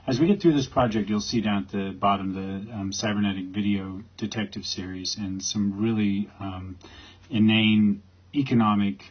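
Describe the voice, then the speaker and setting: Halting, low-voiced, husky man explaining. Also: steady tone. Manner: halting, rambling. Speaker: creator presenting his project. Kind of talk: informal explanatory talk. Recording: slightly dull, lo-fi recording.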